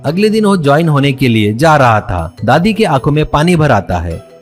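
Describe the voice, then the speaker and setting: A man's voice: on the high side, big pitch swings, moderate pace, soft background music. A man narrating a scripted moral story as the storyteller.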